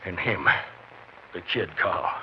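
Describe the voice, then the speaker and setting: A man's voice: deep voice, takes it slowly. A man playing a shaken, plain-spoken rancher and father, urgent and worried, in a western radio drama. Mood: shaken, grim. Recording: muffled old radio recording, clear noise.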